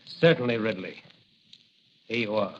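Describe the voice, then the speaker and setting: An actor playing a bold young masked crime fighter, confident and mocking in 1940s radio style, on a muffled, hissy old recording. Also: male voice, on the low side, expressive, measured pace. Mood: confident, wry.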